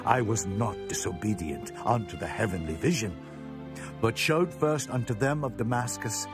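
A man's voice: lowish voice, moderate pace, lightly acted. A man playing an earnest, impassioned prisoner defending himself in a solemn, declamatory scripture drama. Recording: prominent music bed under voice.

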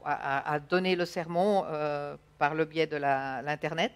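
A woman's voice: low voice, steady tone, a little slow, clear, resonant tone. A woman in her thirties interpreting a sermon live, feeling for her words at times. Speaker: church interpreter. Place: church stage, indoors.

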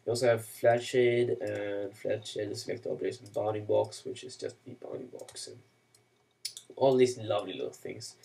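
A man whose first language is Swedish talks through a screen-recorded tutorial in a gravelly, fry-laden voice. He rambles, cracks dry jokes at his own expense and leans on "uh" and "you know". The mic sits some distance away, so he sounds a little removed. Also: fairly low pitch, fairly even intonation, moderate pace, warm tone. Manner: rambling, self-mocking asides, many "uh" and "you know". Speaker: software tutorial maker.

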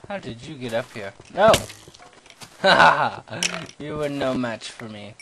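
A young man giving goofy, self-mocking commentary while playing a game, yelling at monsters and talking to himself. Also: medium pitch, lively intonation, a little slow, a crowd in the background. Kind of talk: let's play commentary. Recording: noisy home recording.

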